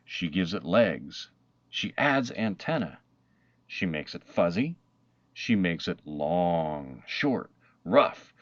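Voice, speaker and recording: A man narrating a children's picture book aloud, with expressive, dramatic emphasis and deliberate pauses. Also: deep voice, no echo, dull audio. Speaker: storybook narrator.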